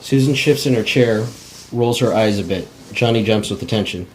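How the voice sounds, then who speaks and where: A man's voice: lowish voice, normal speed, a little hiss or hum, low-quality recording. A man narrating script directions in a plain, unpolished cold read, stumbling now and then. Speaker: narrator reading stage directions.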